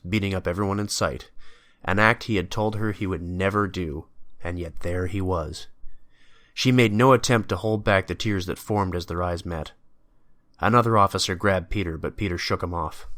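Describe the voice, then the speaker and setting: A man's voice: low voice, fairly bright tone, dry recording. A man narrating a scripted audio drama.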